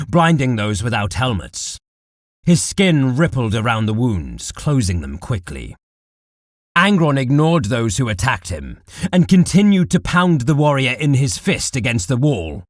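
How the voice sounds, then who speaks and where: Slow, dramatic male narrator, lively pitch. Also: dry recording, clean studio sound. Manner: deliberate, dramatic. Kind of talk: dramatic scripted audiobook narration of dark war fiction.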